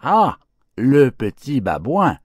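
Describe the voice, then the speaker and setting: A man's voice: mid-range voice, wildly swooping pitch, takes it slowly, no echo, clean studio sound, voice pushed up, heavily acted. A man playing a grave, self-righteous schoolmaster in a storybook fable, scolding in a pompous, declamatory way. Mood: indignant scolding.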